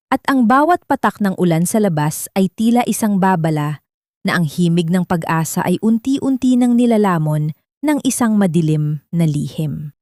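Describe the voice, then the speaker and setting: Female audiobook narrator for melodramatic fiction, mid-pitched, recorded dry and close. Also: darkish tone, no room sound. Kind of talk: dramatic scripted story narration.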